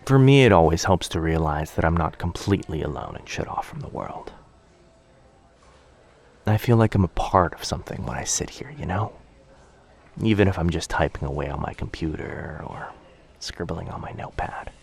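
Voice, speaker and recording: A man playing a shy, sweet, anxious young stranger in a boyfriend ASMR roleplay, speaking hesitantly, softly and earnestly. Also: low voice, expressive, moderate pace, vocal fry, slightly rough, full character voice. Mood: thoughtful, hesitant. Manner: hesitant, soft-spoken, earnest, self-doubting.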